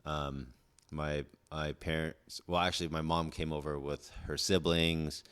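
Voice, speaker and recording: A man in his forties with an extremely low, deep voice chats casually as a podcast guest. He rambles in a laid-back way, with lots of "like" and "dude". He grew up in Southern California after being born in Indonesia. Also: flat delivery, a little slow, a bit bright, clean tone. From born in Indonesia, raised in Southern California. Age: 40s. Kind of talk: casual chat.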